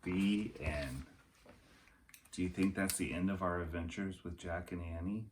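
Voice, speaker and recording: A man's voice: low voice, steady tone, measured pace, a little hiss or hum. A man narrating a storybook for children in the manner of a teacher reading aloud.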